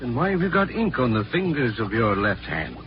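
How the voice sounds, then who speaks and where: An actor playing a scientist's concerned, observant colleague, questioning and hesitant, in 1940s radio drama. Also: male voice, mid-range voice, wildly swooping pitch, fairly quick. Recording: muffled noisy 1940s radio recording.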